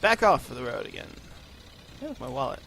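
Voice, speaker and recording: A man's voice: medium pitch, sing-song, fairly quick, vocal fry, sharp tone, a little hiss or hum. A man hosting a gaming channel, chatting casually in let's play commentary with a rambling, self-mocking manner, a bit congested from allergies, in an unpaneled room. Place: room with no acoustic paneling.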